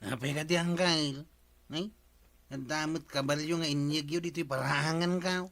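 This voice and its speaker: A man's voice: fairly high pitch, steady tone, normal speed, vocal fry, fairly bright tone. A man with an Ilocano accent playing a carefree, whiny slacker watchman in a radio comedy drama. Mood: puzzled, agreeable.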